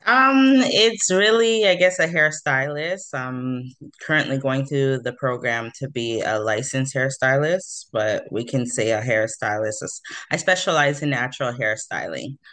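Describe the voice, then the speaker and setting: A woman's voice: extremely low pitch, animated, a little slow, a bit bright. A woman from Toronto, a hairstylist chatting as an interview guest, with many fillers and easy laughs. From Toronto, Canada. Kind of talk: casual interview chat.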